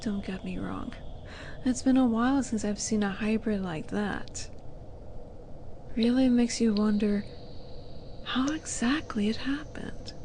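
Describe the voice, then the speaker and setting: A woman voicing a haughty, teasing female demon in a roleplay audio drama, with a flirty, sardonic and deliberate manner. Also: on the high side, warm tone, a little hiss or hum. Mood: aloof, amused.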